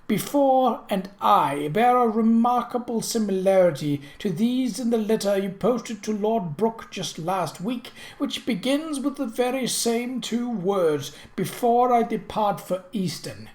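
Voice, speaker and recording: A narrator voicing an imperious, mocking British prince in an audiobook, pompous and theatrical, with deliberate, drawn-out emphasis. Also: medium pitch, animated, darkish tone, echoey room, voice pushed up, close to an everyday voice. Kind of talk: audiobook character voice.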